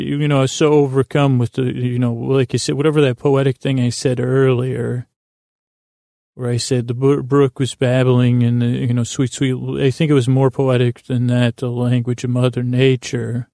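A man hosting a podcast in a halting, silly, absent-minded monologue, full of digressions, pauses and repeated words. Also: mid-range voice, gravelly, a little mellow, dry recording, studio quality. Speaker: podcast host. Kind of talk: rambling whimsical monologue meant to distract listeners.

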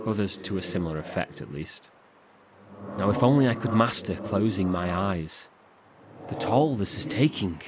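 Casting: worn-down, fearful survivor in horror audio drama; fairly deep, hesitant, intimate delivery over a clearly noisy bed. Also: male voice. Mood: weary. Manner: halting, confiding, with sighs and swearing. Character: weary, frightened male survivor trapped in darkness. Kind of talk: first-person horror audio drama monologue. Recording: clearly noisy, rumbling ambience.

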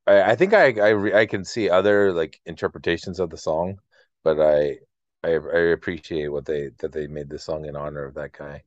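A deep-voiced man co-hosts a casual album chat. The recording is dry and somewhat muffled, with a lo-fi dullness to his voice. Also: fairly quick, no room sound. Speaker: podcast co-host. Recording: dull, dry lo-fi sound.

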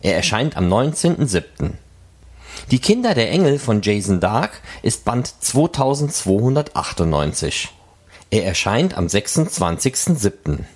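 A man with a fairly low voice presents scripted podcast announcements. His intonation is very animated, swinging clearly up and down as he reads out the news. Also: moderate pace. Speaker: podcast host reading fan news.

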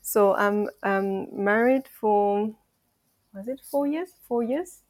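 A woman's voice: mid-range voice, takes it slowly, a little mellow, no room sound. A woman in her thirties from France with a French accent, a podcast guest who talks reflectively and earnestly, sometimes searching for words. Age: 30s. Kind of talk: casual conversational interview.

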